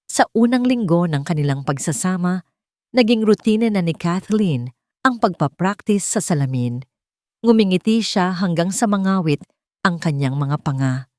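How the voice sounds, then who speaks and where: A woman's voice: a little mellow, no room sound, clean studio sound. A woman narrating a romance story in a scripted storytelling style, giving the characters' quoted lines herself. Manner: plays every character herself, shifting pitch for quoted lines.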